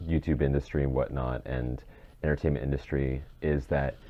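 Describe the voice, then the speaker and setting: A man's voice: a bass voice, little pitch movement, normal speed, mellow, dull audio. A man co-hosting a casual podcast, rambling as he circles back to rephrase his thoughts. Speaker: podcast co-host.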